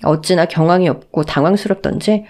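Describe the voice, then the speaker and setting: A woman's voice: fairly low pitch, a little fast, darkish tone. A woman narrating a dramatized first-person story for a radio story channel.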